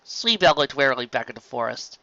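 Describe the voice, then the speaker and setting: A woman's voice: extremely low pitch, lively intonation, brisk, pushed and bright. A woman narrating a fan fiction story expressively. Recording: dull lo-fi close dry recording.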